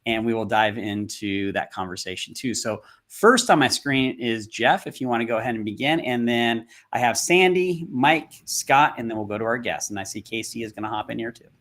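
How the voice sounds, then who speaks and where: A man's voice: medium pitch, expressive, normal speed, few pauses, a bit bright. A man moderating an online panel over a video call, asking casual, rambling questions with frequent restarts.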